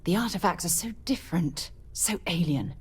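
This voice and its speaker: Husky, low-voiced explorers' leader. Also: female voice, lively intonation, moderate pace. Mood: warmly awkward. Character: earnest, thoughtful woman leading a space explorers' guild. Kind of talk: video game dialogue acting.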